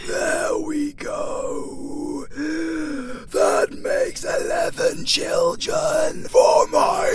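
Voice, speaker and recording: Wildly theatrical British necromancer. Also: male voice, lowish voice, heavily acted. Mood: triumphant. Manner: theatrical, swings between hushed murmurs and shouted incantations. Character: gleefully wicked, lustful male necromancer raising the dead. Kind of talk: theatrical audio roleplay acting. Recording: clearly noisy ambient background.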